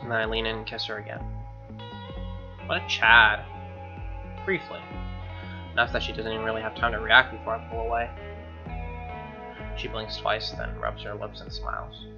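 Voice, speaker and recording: A man's voice: lowish voice, normal speed, gravelly, long pauses, dull audio, music in the background. A man reading a visual novel aloud as a gamer, mixing narration with informal, slangy asides. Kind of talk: dramatic reading with casual asides.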